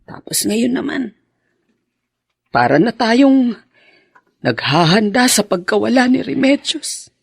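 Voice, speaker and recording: A woman's voice: a little high, sing-song, slow pace, gravelly, long pauses, breathy edge, no echo, clean studio sound, full character voice. A woman playing a sympathetic, lamenting mother in a radio drama, reflective and concerned. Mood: mournful. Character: concerned mother of a grown son, sighing, sympathetic. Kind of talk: Filipino radio drama acting.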